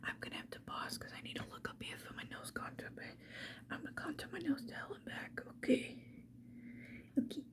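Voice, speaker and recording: A woman speaking mostly in a breathy whisper, chatting casually to the viewer in an ASMR get-ready style. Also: fairly quick. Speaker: ASMR video creator.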